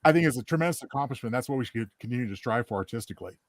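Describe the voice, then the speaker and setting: A man co-hosting a podcast in casual chat, speaking earnestly in wordy, halting sentences. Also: mid-range voice, animated, no room sound, studio quality. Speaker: podcast co-host.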